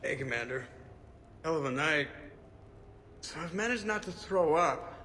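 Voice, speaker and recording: An actor playing a wry young crew member in a video game, rambling and self-deprecating. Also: male voice, a little high, animated, a little slow, bright, forceful tone, long reverb tail, slight background noise. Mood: queasy, pained.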